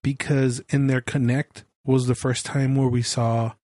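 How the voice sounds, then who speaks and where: Hoarse man chatting on a podcast, dry sound. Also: medium pitch, flat delivery, unhurried, darkish tone, dry recording. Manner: blunt, swears freely. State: losing his voice, coughing. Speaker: podcast co-host. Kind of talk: casual chat.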